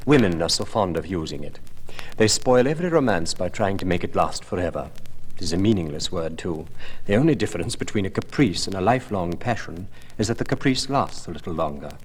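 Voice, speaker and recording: Man voicing a worldly, deep-voiced aristocrat. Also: lively intonation, a little fast, breathy edge. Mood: urbane, amused. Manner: epigrammatic, grandly persuasive. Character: worldly, languid, cynical aristocratic gentleman.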